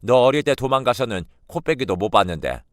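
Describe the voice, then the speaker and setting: A man's voice: mid-range voice, very animated, fairly quick, dry recording, clean studio sound, lightly acted. A man voicing a bitter, grumpy old grandmother who scolds defensively, in a read-aloud story. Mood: defensive scolding.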